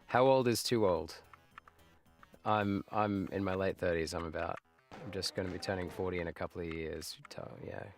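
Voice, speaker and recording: Deep, gravelly man in his thirties. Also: normal speed, soft background music. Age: 30s. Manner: nostalgic, rambling, with muttered asides. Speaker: livestreamer playing games. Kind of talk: casual live gameplay commentary.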